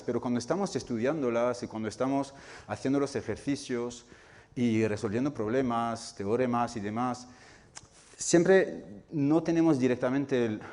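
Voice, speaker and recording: A man whose first language is not Spanish, lecturing an audience on game development and often pausing to search for words. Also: medium pitch, a little mellow. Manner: searching for words, frequent restarts and fillers. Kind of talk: public talk to an audience. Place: cultural center lecture room.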